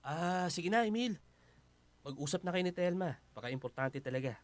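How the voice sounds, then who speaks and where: A man's voice: sits high, expressive, normal speed. A man acting as a modest, helpful stranger in a Filipino radio drama, humble and deferential. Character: modest, helpful male stranger, shy of imposing.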